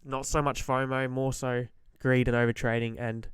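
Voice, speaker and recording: A man who is a bit sick and slightly hoarse, giving blunt, emphatic trading-psychology advice and clearing his throat often. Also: mid-range voice, level tone, moderate pace, no echo, clean studio sound. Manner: direct, emphatic, repeats key points. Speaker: forex trader giving advice. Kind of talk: motivational trading-psychology talk to camera.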